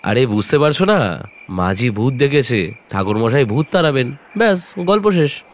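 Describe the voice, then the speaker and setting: An actor playing a skeptical young friend who mocks the ghost story in a lively way. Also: male voice, mid-range voice, moderate pace, a little hiss or hum, natural voice. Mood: teasing. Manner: mocking, lively quip.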